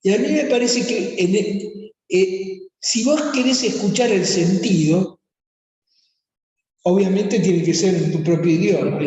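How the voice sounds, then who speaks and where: A speaker with an Argentine accent reflects on psychoanalytic theory in a calm, thoughtful way. The voice is dark and mellow, and it is heard in a hall with heavy echo and a long reverb tail. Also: mid-range voice, measured pace. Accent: Argentine Spanish. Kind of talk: seminar discussion.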